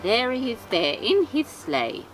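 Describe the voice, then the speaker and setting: A woman's voice: on the high side, big pitch swings, a little slow, rough recording. A woman reading a picture book aloud to young children, adding cosy, chatty asides and repeating herself. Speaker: storyteller and host of a children's show. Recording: music behind the voice. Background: clearly audible music.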